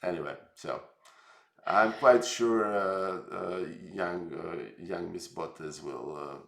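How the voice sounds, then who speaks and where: Low gravelly man, roomy echo. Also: takes it slowly, fairly bright tone. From Serbia. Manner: rambling, hesitant, filler-heavy, jokey. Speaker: streamer host. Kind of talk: casual live stream chat.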